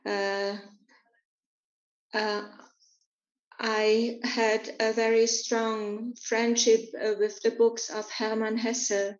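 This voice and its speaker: A woman's voice: a little high, level tone, drawn-out words, pauses often. A woman interpreting an interview into English, hesitant and full of filler sounds. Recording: roomy, dull lo-fi recording.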